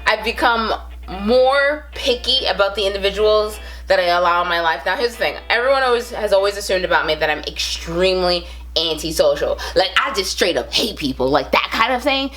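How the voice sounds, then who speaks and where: A woman's voice: medium pitch, lively intonation, brisk, fairly bright tone, rough recording. A woman in her thirties with a Brooklyn accent, chatting casually to camera with lots of fillers and rambling asides. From the Bronx, New York. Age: 30s. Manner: rambling, chatty, with frequent fillers and self-amused laughs. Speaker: vlogger.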